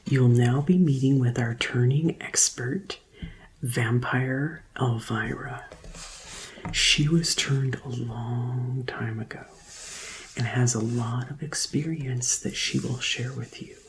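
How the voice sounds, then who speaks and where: A woman's voice: very deep voice, heavily acted. A woman playing a helpful vampire tour guide in a soothing ASMR roleplay whisper with a crackle in it. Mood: welcoming. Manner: slow, soothing customer-service patter. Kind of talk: soft-spoken ASMR roleplay.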